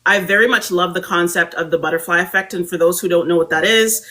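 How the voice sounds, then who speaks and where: A young adult woman from Barbados, a guest speaker telling her story in an animated, reflective conversational way. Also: lowish voice, fast.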